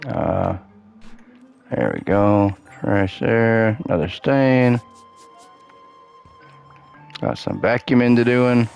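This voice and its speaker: A man with a low voice that keeps cracking because he is losing it. He chats casually and upbeat, counting and thinking aloud while he plays a game. Faint music runs underneath. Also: extremely slow, gravelly, pauses often, darkish tone, smooth. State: losing his voice. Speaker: gaming channel host. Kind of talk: casual gameplay commentary.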